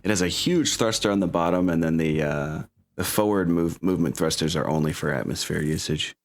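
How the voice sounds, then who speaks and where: A man acting as game master, chatting and narrating casually, haltingly thinking aloud as he goes. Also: deep voice. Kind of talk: casual tabletop roleplay narration and chat.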